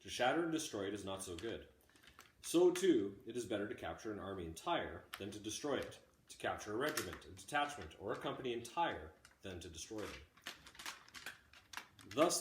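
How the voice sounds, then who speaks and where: A man reading a classic text aloud like a teacher, in measured, deliberate phrases. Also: fairly low pitch, slight background noise. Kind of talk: audiobook-style read-aloud of a classic text. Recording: roomy, echoey home recording.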